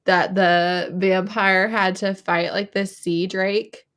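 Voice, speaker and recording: Casting: female book club panelist, medium-pitched voice, informal group chat delivery. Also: level tone, slow pace, fairly bright tone.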